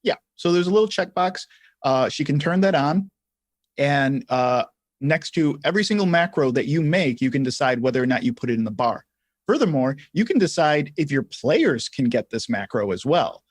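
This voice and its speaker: A man co-hosting a livestream, giving casual tutorial explanations in halting phrases with some repeats. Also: a little high, animated, no room sound, studio quality. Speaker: livestream co-host.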